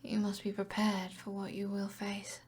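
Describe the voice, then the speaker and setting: A woman's voice: medium pitch, monotone, normal speed, a little mellow, lightly acted. A British woman playing an alluring, commanding evil sorceress in an ASMR roleplay, half-whispering in a teasing, possessive way. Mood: seductive, confiding. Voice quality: breathy, whispery.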